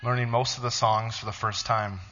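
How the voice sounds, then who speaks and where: A man, a pastor, reading a blog aloud to his church in a halting, emotional voice. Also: mid-range voice, level tone, fairly bright tone, dull audio. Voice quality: tearful, halting.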